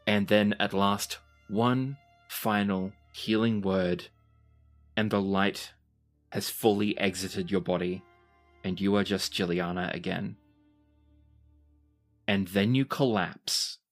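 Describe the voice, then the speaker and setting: A game master narrating a tabletop roleplaying game in descriptive, dramatic phrases with deliberate timing. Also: low-pitched, fairly even intonation, faint music behind.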